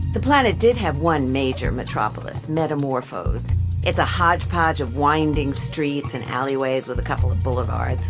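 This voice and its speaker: A woman playing a cool, wry adventurer, narrating a radio drama with dry, deadpan delivery. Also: rumbling low.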